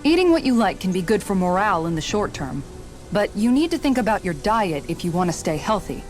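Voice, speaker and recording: A woman's voice: mid-range voice, sing-song, a little fast, darkish tone, lightly acted. A woman playing a dutiful, composed young former palace guard in a video game, speaking earnestly and considerately. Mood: caring, firm. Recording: music underneath. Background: background music.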